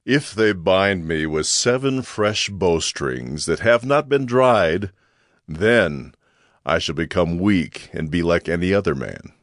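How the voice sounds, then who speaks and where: A man's voice: sits low, expressive, slow, dry recording, clean studio sound. An actor playing a mighty, brooding Israelite strongman in a solemn scripture drama. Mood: grave, secretive.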